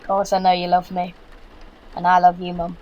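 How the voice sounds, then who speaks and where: A man's voice: high voice, monotone, brisk, muffled recording, slight background noise, lightly acted. A young man playing a practical, bossy British son in an audio drama, speaking in an earnest, instructive way. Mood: fond, dry. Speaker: actor.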